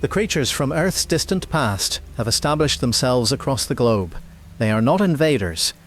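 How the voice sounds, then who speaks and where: A man playing a grave, measured news reporter in an apocalyptic audio drama. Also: mid-range voice, expressive, natural voice. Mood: grave, urgent. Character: grave male BBC news reporter during global collapse. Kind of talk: scripted broadcast news report.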